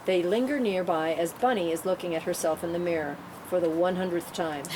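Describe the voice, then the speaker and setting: A woman's voice: on the low side, a little fast. A woman reading stage directions as scripted narration at a table read. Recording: clearly noisy room recording.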